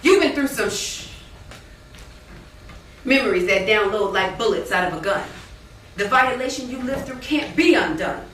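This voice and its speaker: A woman's voice: medium pitch, lively intonation, normal speed, long pauses, fairly bright tone, lightly acted. An actress playing a proud, outspoken woman in a stage play, declaiming with emphatic spoken-word delivery. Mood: impassioned, proud. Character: proud, outspoken woman friend, poetic and affirming. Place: indoor stage, live play. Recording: echoey stage hall, distant mic, noisy.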